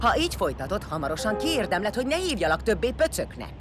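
A woman's voice: mid-range voice, big pitch swings, over music, natural voice. An actress playing a bloodthirsty, vengeful warrior woman in a sci-fi audio drama, curt, commanding and foul-mouthed. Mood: suspicious, irritated. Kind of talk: science fiction audio drama acting.

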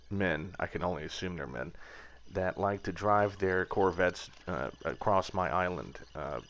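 A man in his thirties talks casually and wryly over his footage, drifting into mock rants. His voice sits very low with a gravelly fry. The recording sounds a little dull and lo-fi. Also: pushed and bright. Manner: rambling, wry, prone to mock rants. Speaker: aircraft builder vlogger.

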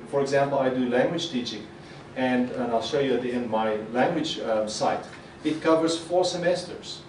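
Middle-aged man, Afrikaans speaker, animated conference talk, roomy hall, voice a little distant. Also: mid-range voice, slight background noise. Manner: animated, gestures a lot, acts out quoted reactions. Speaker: conference presenter. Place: conference hall with projector screen. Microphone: podium mic. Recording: echoey hall, mic a bit far.

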